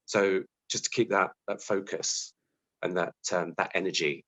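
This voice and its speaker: Low-voiced British man being interviewed. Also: normal speed, fairly bright tone. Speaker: interview guest, TV writer. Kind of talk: conversational interview answer, recounting own career.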